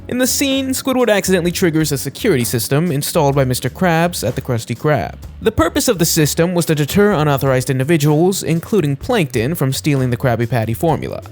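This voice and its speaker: A man's voice: fairly high pitch, a little mellow. A man reading scripted narration for a list video, presenting facts and putting questions to the viewer. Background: music.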